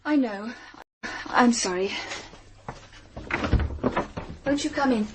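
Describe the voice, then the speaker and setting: An actress playing a young English private detective in a radio drama, speaking politely and earnestly. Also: female voice, a little high, normal speed, a little hiss or hum, lightly acted. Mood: earnest, apologetic. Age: young adult. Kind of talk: British radio drama acting.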